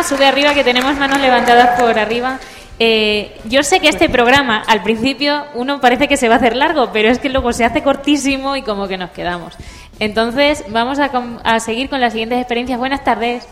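A woman hosting a public event, brisk and encouraging as she directs the room and invites speakers. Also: a little high, fairly bright tone, some chatter behind. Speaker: presenter hosting the session. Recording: hall reverb with faint music.

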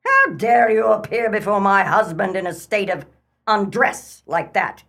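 Woman playing slow, imperious battle-axe wife. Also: mid-range voice, big pitch swings, gravelly, fairly bright tone, full character voice. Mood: outraged, scolding. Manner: commanding, haughty. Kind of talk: radio play acting.

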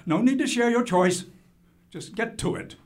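An actor playing a stern, sardonic high school teacher in a radio drama, speaking deliberately and with command. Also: male voice, expressive. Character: stern, dry, world-weary male high school teacher supervising detention.

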